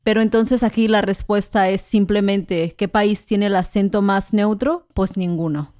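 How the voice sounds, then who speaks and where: A Mexican woman co-hosting a podcast, speaking in a measured, clear way for learners and often affirming with soft murmurs. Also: medium pitch, fairly even intonation, dry recording, studio quality. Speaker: podcast co-host. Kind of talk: slow, clear conversational chat for learners.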